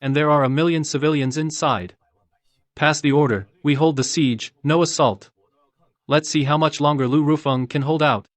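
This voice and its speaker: A resolute general, acted by a man in a motion-comic drama, with a slightly high voice and very dry sound. He speaks in measured, commanding phrases, principled and quietly defiant. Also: fairly even intonation, dry recording, close to an everyday voice. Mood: firm command.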